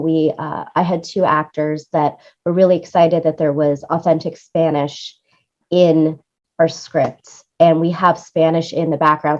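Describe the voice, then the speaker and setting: A woman's voice: low voice, dull audio. A woman talking enthusiastically and conversationally as an interview guest about her creative work. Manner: enthusiastic, effusive. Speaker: interview guest, radio play producer and music supervisor.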